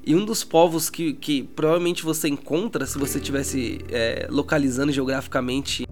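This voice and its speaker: A man's voice: mid-range voice, moderate pace. A Brazilian man narrating a tabletop roleplay as game master, with informal, slangy speech. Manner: colloquial, slangy. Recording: music behind the voice.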